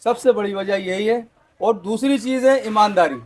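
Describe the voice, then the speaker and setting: A man's voice: sits high, normal speed. A man, a shopkeeper plainly explaining how he roasts nuts and stressing honest trade. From Pakistan.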